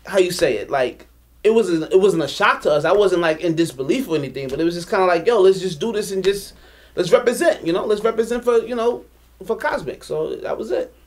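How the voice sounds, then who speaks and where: A man's voice: high-pitched, animated, fairly quick. A man from a sound system talking casually in an interview, reflective and hesitant, with many fillers.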